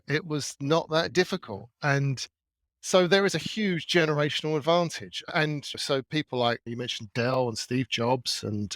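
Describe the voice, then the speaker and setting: A man speaking as a podcast guest, laying out his opinions at length in a measured way. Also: mid-range voice, a bit bright, dry recording. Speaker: guest artist. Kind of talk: casual podcast conversation.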